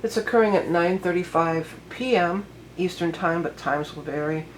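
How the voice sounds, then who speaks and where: A woman's voice: on the low side, moderate pace, slight background noise, low-quality recording. A woman astrologer still getting over a head cold, giving a casual, rambling forecast full of fillers and self-corrections.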